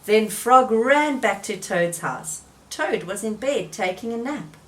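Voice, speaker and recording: A woman reading a children's storybook aloud, gentle and expressive. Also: a little high, slow pace. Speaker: storybook reader.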